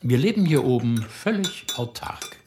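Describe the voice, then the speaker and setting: An actor playing a self-assured village leader in a radio play, speaking in a lofty, preaching manner that drops to a hush. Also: male voice, mid-range voice, lively intonation, moderate pace, a little hiss or hum, lightly acted. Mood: persuasive. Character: smooth-talking village leader, older man, self-assured.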